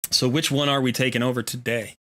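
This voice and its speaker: A man's voice: mid-range voice, flat delivery, fast, fairly bright tone. A man hosting a gaming livestream, chatting casually in a jokey, talkative way. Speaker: livestream host.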